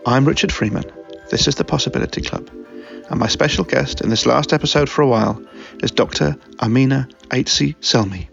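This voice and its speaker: A man's voice: medium pitch, brisk, gravelly, dull audio, over music. A man hosting a podcast who introduces his guest and asks long, enthusiastic questions that wander a bit. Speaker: podcast host. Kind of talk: podcast interview.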